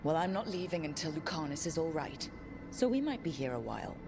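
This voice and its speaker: An actress playing a firm, loyal heroine in a video game, speaking earnestly and reassuringly. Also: female voice, low voice, very animated, a little fast, over music. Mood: firm, caring. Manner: earnest, determined.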